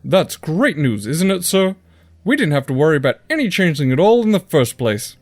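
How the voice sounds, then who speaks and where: A man's voice: fairly high pitch, very animated, a little fast, dry recording. A man playing an earnest, deferential pony royal guard in a cartoon audio drama, respectful and placating. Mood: reassuring.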